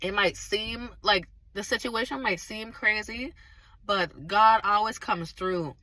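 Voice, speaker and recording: A woman with a slightly raspy, hoarse voice from recent illness, casually and earnestly telling viewers a faith testimony. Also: medium pitch, slow pace, pushed and bright, no room sound. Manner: earnest, emphatic, talks straight to viewers. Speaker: vlogger sharing a faith testimony.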